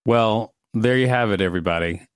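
A man's voice: lowish voice, brisk, clear, resonant tone, no echo. A man co-hosting a podcast, reading an encyclopedia article aloud with playful asides. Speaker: podcast co-host.

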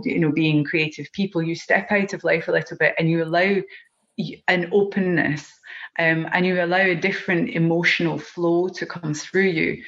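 A woman's voice: on the low side, a bit bright. Earnest Scottish woman, muffled sound. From Scotland. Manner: earnest, heartfelt, many ums and restarts. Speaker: project organiser guest. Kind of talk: podcast conversation.

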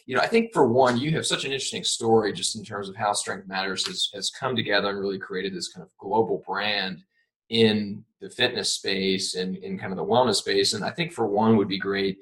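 Fast-talking, low-voiced co-host. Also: male voice, fairly even intonation, a bit bright, rough recording. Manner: rambling, joking. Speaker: podcast co-host. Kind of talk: casual podcast chat.

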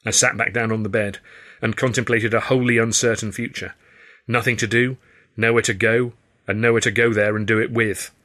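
A man with an English accent narrates a novel in a fairly low, very bright, forceful voice. His delivery is expressive and wry, shaped as dramatic first-person storytelling. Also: a little fast. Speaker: author and audiobook narrator.

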